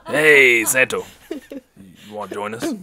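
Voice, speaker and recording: An actor playing a jovial, carousing mobster in a radio drama, with a loose, careless manner. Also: male voice, medium pitch, wildly swooping pitch, takes it slowly, crowd noise.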